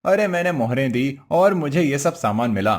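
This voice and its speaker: A man's voice: medium pitch, expressive, normal speed, close to an everyday voice. A man playing a crafty, cheerful village husband in a cartoon moral story, coaxing and exclaiming in an exaggerated way. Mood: reassuring.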